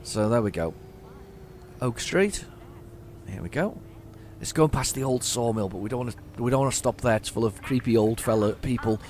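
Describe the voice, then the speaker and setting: A hoarse man giving casual, rambling commentary while playing a driving game at home, often stammering and repeating words as he chats to himself. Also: medium pitch, animated, normal speed, darkish tone, a little hiss or hum. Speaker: gaming YouTuber.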